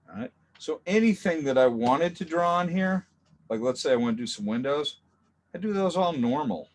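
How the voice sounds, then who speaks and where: A man teaching a drawing class in a relaxed, chatty way, talking through each step and adding jokey asides. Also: fairly high pitch. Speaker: art teacher. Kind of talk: casual drawing lesson.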